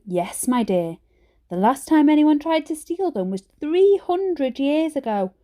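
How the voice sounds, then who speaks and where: A woman's voice: high-pitched, big pitch swings, measured pace, mellow, voice pushed up. A woman voicing a stern but fond old granny in a storybook, with an emphatic, scolding manner. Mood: wistful, confiding.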